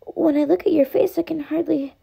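A woman voicing a lonely, lovestruck young bug girl from a video game, shy, halting and breathy. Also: high voice, steady tone, warm tone, pitched above the performer's own voice. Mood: shy, flustered. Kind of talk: fan dub of video game dialogue.

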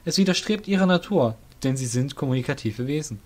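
A man's voice: medium pitch, lively intonation, a little fast. A man narrating a fantasy audio drama in a scripted storytelling style.

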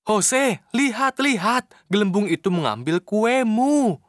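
A man voicing a patient, sensible, caring helper in a children's cartoon, gently admonishing and expressive. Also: high-pitched, slow pace, noisy background. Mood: urgent. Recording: studio voice over cartoon music.

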